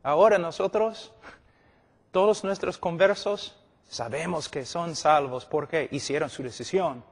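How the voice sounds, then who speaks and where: Higher-pitched male preacher in echoing hall. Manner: emphatic, repeats phrases, pausing for stress. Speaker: preacher teaching at a church conference. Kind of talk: sermon with illustrative stories. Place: large indoor meeting hall, speaking to a seated audience. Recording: echoey hall with long reverb.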